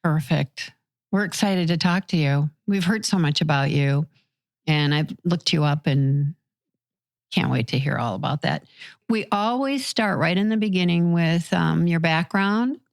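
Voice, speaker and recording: Gravelly, lowish American woman podcast host. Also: expressive, a little slow, dry recording. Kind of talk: casual interview chat.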